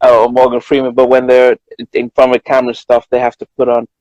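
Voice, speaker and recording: A man's voice: medium pitch, completely flat delivery, fast, a little mellow, no room sound, dull audio. A man with a British accent co-hosting a casual chat, informal and discursive with hesitant repetitions. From Britain. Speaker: co-host, journalist.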